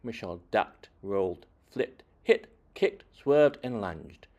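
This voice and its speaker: A man reading a children's story aloud expressively, with deliberate phrasing and dramatic emphasis. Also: on the low side, expressive, darkish tone. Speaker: children's book reader.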